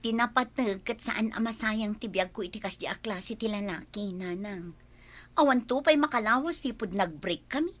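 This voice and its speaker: A woman's voice: mid-range voice, normal speed, fairly bright tone, lightly acted. A woman playing a frank, resolute young single woman in a radio drama, speaking emphatically and conversationally. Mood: frank, resigned.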